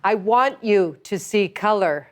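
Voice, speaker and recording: A woman's voice: medium pitch, animated, measured pace. Woman radio interviewer, conversational. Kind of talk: broadcast interview.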